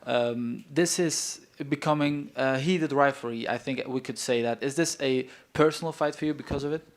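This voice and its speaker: A man asks a fighter a question at a press conference. His voice is medium in pitch, and he hesitates a little between phrases. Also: moderate pace.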